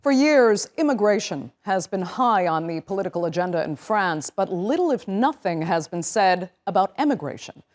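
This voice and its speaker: A woman hosting a news program, reading a script in a clear, measured way with emphatic openers. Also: medium pitch, lively intonation. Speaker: news show host.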